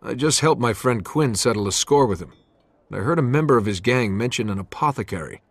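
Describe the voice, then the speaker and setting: An actor playing a determined, loyal young streetwise hero in a video game, with a husky voice. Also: male voice, mid-range voice, lively intonation, fairly quick, no echo. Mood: candid. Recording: music behind the voice.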